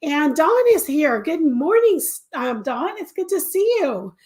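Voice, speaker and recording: An older woman with a stuffy cold and a slightly raspy throat, chatting casually as host of a weekly live stream, greeting viewers by name. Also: sits high, big pitch swings, measured pace, a little mellow. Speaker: crochet designer hosting a weekly live stream.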